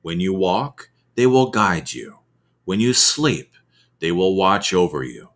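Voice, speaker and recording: A man reading scripture aloud as ASMR, with measured, deliberate phrasing and expressive emphasis. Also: low-pitched. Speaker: reader of scripture.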